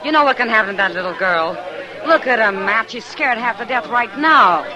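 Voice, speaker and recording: A woman's voice: on the high side, animated, fairly quick, a crowd in the background, natural voice, poor audio. A woman playing a bold, caring frontier saloon woman in a western radio drama, frank and insistent in her delivery. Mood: urgent, indignant. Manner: frank, insistent, plain-spoken.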